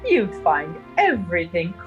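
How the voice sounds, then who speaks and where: Snobbish older aunt, 1930s radio play: prim, impatient, wildly animated intonation, unhurried delivery. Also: female voice, fairly low pitch, lo-fi sound. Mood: haughty, fussy. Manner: prim, haughty, fretful. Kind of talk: 1930s radio drama acting. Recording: 1930s radio recording with music.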